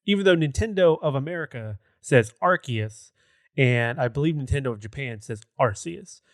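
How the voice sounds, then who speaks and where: A man's voice: mid-range voice, lively intonation, normal speed, no echo. A man with a mid-range voice talks as co-host of a gaming podcast. His delivery is casual and conversational, wandering a little as he goes. The sound is dry, with hardly any room in it.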